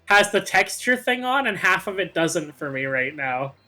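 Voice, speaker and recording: A man's voice: sits high, very animated, moderate pace, bright, forceful tone. A man in casual, playfully insistent banter with friends as he co-commentates a video game. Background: game music.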